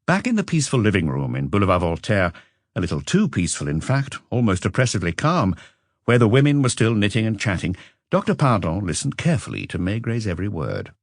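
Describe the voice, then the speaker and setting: A man's voice: lowish voice, wildly swooping pitch, fast talker, no echo, clean studio sound. A man reading a mystery novel as a scripted audiobook narration.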